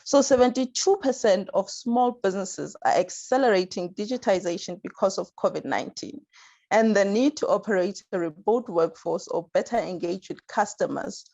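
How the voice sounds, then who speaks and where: A woman's voice: medium pitch, moderate pace, mellow, muffled recording. Woman moderating an online panel. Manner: reads introductions and statistics, some stumbles. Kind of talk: hosting a webinar panel. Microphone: video call.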